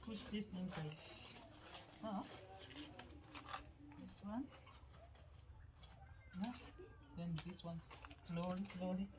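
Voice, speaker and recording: Slow-speaking older Kenyan woman teaching patiently. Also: lowish voice, noisy background. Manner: simple, repetitive short instructions. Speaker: grandmother teaching a child. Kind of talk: casual hands-on instruction. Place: outdoors at a village farm.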